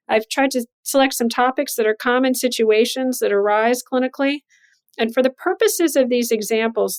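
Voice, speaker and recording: A woman hosting a professional interview, speaking in a measured, articulate, explanatory way. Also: fairly high pitch, a little mellow. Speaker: interviewer and host, physician and journal editor.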